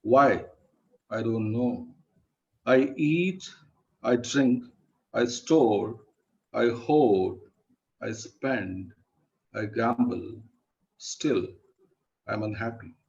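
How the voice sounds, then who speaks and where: A man recites poetry with solemn emphasis, extremely slowly, pausing between short phrases. His pitch is average for a man. The sound is dull and lo-fi, like an online call. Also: darkish tone. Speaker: poet reciting at an online event.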